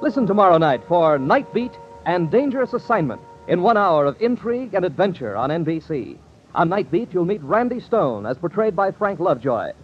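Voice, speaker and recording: Male 1950s American radio announcer, high and husky, formal promo delivery over music, dull old broadcast sound. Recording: dull vintage radio recording, music behind.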